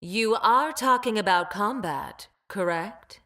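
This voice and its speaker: A woman voicing a cold, haughty, cat-like female bioweapon in a video game, speaking formally with measured, precise enunciation and clinical detachment. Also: mid-range voice, expressive, pushed and bright. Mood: superior, clinical. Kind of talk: video game character voice lines.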